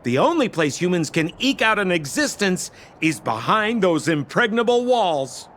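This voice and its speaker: A flamboyant young male swindler for a video game, pitched high and swooping widely from phrase to phrase. He is silver-tongued and theatrical, buttering up the listener like a salesman. Faint noise sits behind the voice. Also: very animated, moderate pace, fairly bright tone. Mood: grandiose. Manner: theatrical, ingratiating salesman patter. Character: flamboyant, silver-tongued con-man treasure dealer, young adult man. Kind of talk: animated video game voice acting.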